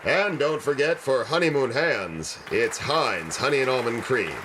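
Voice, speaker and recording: A man playing a 1940s radio announcer, reading scripted copy in a measured, salesmanlike way. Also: medium pitch, lively intonation, pushed and bright, some chatter behind, slight background noise. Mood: upbeat sign-off. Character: smooth-selling male 1940s radio announcer. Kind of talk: scripted radio advertisement read.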